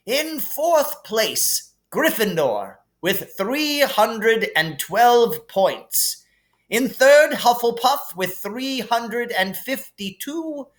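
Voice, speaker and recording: A man voicing an elderly, wise and kindly wizard headmaster with a stately, deliberate delivery, as in a storybook reading. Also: very high voice, sing-song, voice pushed up, full character voice. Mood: ceremonious.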